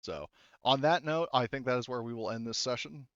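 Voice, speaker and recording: Male game master, casual and halting, medium pitch, dry but slightly dull audio. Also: fairly bright tone, no echo. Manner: halting, hedging, swears casually. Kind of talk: casual tabletop game narration and chat.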